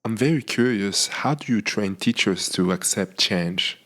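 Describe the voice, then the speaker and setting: A man's voice: lowish voice, measured pace, a bit bright. A man from France hosting a podcast, asking thoughtful, curious questions. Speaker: podcast host.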